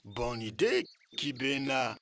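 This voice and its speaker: A man playing a kindly, expressive old villager in an educational cartoon. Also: mid-range voice, slow pace, slight background noise. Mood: thoughtful, kindly. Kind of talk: animated educational cartoon dialogue.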